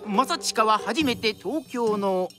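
A woman narrates in a formal storyteller's style. Her voice is slightly high and rises and falls expressively, polite throughout, with clear music behind her. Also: lively intonation, slow.

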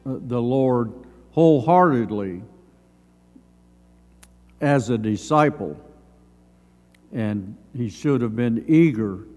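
An older man in his seventies giving a Sunday school Bible lesson, reading from notes in measured, halting short phrases. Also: medium pitch, dark tone. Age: older, around 70s. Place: church sanctuary, at a lectern. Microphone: gooseneck lectern microphone. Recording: long hall reverb.

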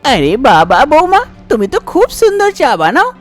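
A woman's voice: high-pitched, huge pitch swings, moderate pace, faint music behind. A woman voicing a kindly older mother-in-law in a cartoon story, effusive and approving. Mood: pleased, approving.

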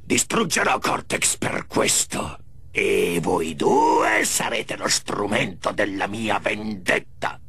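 A vengeful evil mask spirit rasps out commands in a game cutscene. The voice is heavily gravelled and piercingly forceful, theatrical and irritable. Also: male voice, on the low side, huge pitch swings, takes it slowly, full character voice. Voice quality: gravelly, raspy. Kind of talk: animated video game cutscene acting, Italian dub.

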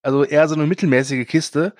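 A man's voice: fairly high pitch, fairly even intonation, quick pace, a little mellow, dry recording, studio quality. A man co-hosting a casual film news podcast, talking with frequent hesitations and filler sounds. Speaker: podcast co-host.

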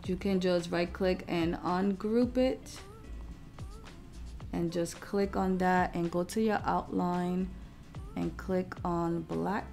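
A woman hosting a craft software tutorial, explaining each step in an informal, casual way. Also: fairly low pitch, steady tone, takes it slowly, vocal fry, soft background music.

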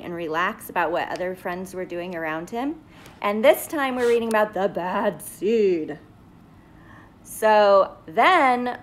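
A middle-aged woman teacher talking casually and directly to young viewers during a read-aloud, recorded on a phone in a living room. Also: mid-range voice. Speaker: school teacher. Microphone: phone at arm's length.

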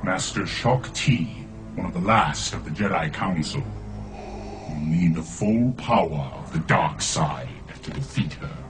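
A man playing a masked dark lord in a video game, commanding and menacing, speaking in a solemn, imperious way. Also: deep voice, lively intonation, unhurried, over music. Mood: stern, commanding. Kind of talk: cinematic video game acting.

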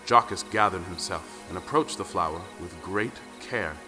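A man's voice: low voice, moderate pace, in a large hall. A man narrating a storybook audio play in a dramatic style. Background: faint music.

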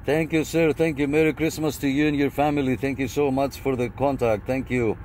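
Guy calling out on cb from his car, polite, repeats himself, medium pitch, some background noise. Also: fairly even intonation, a little fast, darkish tone. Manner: repeats phrases, courteous. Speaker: CB radio operator. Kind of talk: casual CB radio calls. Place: inside a vehicle. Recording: noisy vehicle cabin, radio static behind.

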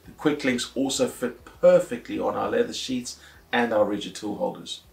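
A man's voice: mid-range voice, normal speed, creaky, darkish tone. A man in his forties presenting a scripted product review to camera, explaining things and posing his own questions. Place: indoor workshop studio. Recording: roomy, echoey indoor sound.

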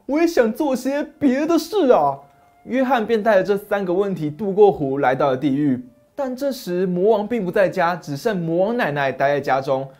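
A man in his thirties narrating a fairy tale and explaining it, steady and expressive. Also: sits high, brisk. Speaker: storyteller host. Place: dim study set, indoors. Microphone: lapel mic.

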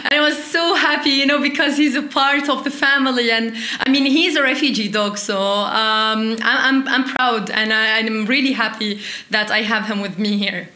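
A woman in her twenties with a Middle Eastern accent, giving earnest, slightly halting answers as an interview guest. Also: a little high, fairly even intonation, bright, forceful tone. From Iraq, later Syria and Turkey. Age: 20s. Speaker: interview guest, refugee youth delegate. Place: small indoor interview set. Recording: roomy, echoey sound.

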